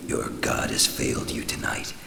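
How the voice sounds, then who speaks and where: A man playing a menacing, mocking devil in a radio play, speaking in slow, archaic, imperious phrases with a gravelly voice. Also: extremely low pitch, monotone, noisy background, full character voice. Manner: slow, deliberate, archaic grand speech. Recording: echoing voice under loud music and rain.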